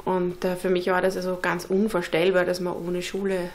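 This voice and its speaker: A woman's voice: fairly low pitch, monotone, moderate pace. Earnest mother in a workshop. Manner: earnest, thoughtful, many fillers. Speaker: interviewee, unschooling mother. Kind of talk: casual interview talk. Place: indoor pottery workshop.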